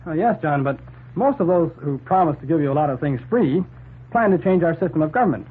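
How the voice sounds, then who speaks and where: Fast-talking radio reporter, husky, tinny. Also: male voice, a little high, lively intonation. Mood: questioning. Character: inquisitive city newspaper reporter, eager and slightly puzzled. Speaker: reporter hero and narrator. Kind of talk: 1940s radio drama acting. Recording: tinny 1940s radio recording.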